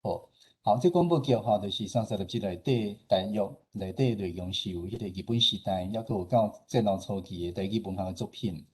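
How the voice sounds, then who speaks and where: A man's voice: lowish voice, fairly quick, breathy edge. A man with a Taiwanese accent giving an informal, explanatory presentation as a radio station head, often tagging his sentences with particles.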